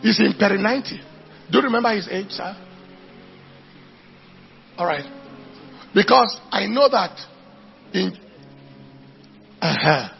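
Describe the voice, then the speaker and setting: A man's voice: high-pitched, lively intonation, unhurried, gravelly, pauses often, dull audio, soft background music. A man with a Nigerian accent preaching and telling anecdotes, driving points home with emphatic repetition. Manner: emphatic repetition, declaiming to congregation. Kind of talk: animated sermon with anecdotes.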